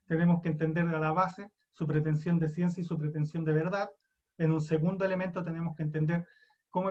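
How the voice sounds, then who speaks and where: A man's voice: fairly high pitch, a little mellow, highs cut off. A young man with a Chilean accent giving an online university lecture, casual and self-deprecating, thinking aloud with restarts. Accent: Chilean Spanish. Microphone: computer mic on a video call.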